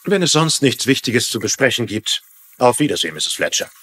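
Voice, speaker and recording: A man's voice: mid-range voice, very animated, a little fast, pushed and bright, a little hiss or hum. A man playing a curt, dismissive business tycoon in a dubbed TV crime drama, formal and brusque.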